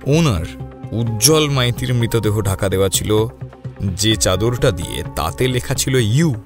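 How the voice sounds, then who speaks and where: A man playing a commanding male detective in an audio thriller, deliberate and probing in the way he explains and questions. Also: mid-range voice, natural voice. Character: sharp, commanding male detective leading a murder case. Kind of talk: Bengali audio detective story acting. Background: music.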